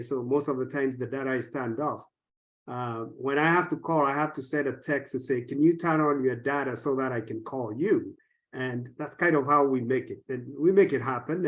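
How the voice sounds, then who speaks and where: A man with a fairly high voice speaks on a webinar panel over a video call. He talks slowly and emphatically, often repeating his words. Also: poor audio. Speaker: church partnerships officer.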